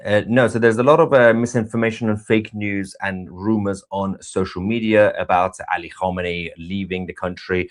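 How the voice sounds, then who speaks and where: A man co-hosting a live stream, giving unscripted news commentary in a halting way with pauses mid-sentence. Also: on the low side, fairly even intonation.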